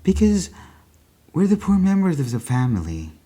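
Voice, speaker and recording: Storybook mother, acted by a man: genteel, anxious and bitter, husky voice raised in pitch. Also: huge pitch swings, mellow. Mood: bitter, resigned. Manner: slow, bitter.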